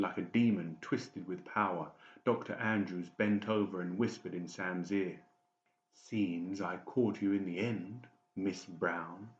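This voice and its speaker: Casting: male audiobook narrator, deep voice, dramatic scripted read, roomy and slightly muffled sound. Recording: muffled, echoey home recording.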